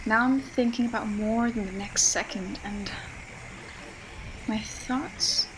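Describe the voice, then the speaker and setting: A woman's voice: a little high, slow. A young woman playing a stubborn, regretful survivor who confides reflectively in an audio drama monologue. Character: regretful, stubborn young woman missing someone she lost. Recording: clearly noisy, ambient background noise.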